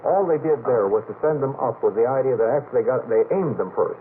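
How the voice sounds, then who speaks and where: An old, telephone-thin radio recording with faint noise carries a man's medium-pitched voice. He is a panel guest who explains in measured, skeptical sentences, as an expert would. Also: expressive. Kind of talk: late-night radio panel discussion. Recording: noisy narrowband vintage radio tape.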